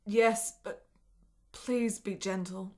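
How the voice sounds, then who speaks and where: A woman's voice: mid-range voice, fairly even intonation, slow pace, warm tone, lightly acted. An actress playing a frail, lovesick, melancholy woman in a period radio drama, speaking in earnest, melodramatic, formal phrases. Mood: gentle, hopeful.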